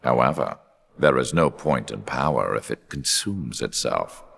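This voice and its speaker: A man voicing a cold, arrogant, scheming superhuman video game villain in a measured, theatrical, menacing delivery with a gravelly voice. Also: extremely low pitch, lively intonation, a bit bright, heavily acted. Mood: cold, triumphant. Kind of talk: scripted video game villain narration and dialogue.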